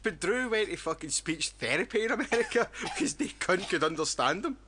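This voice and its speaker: A man's voice: high voice, animated, fairly quick, bright, forceful tone. A man co-hosting a wrestling podcast, chatty and argumentative. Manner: chatty, argumentative, laughs often. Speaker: podcast co-host. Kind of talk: casual wrestling chat.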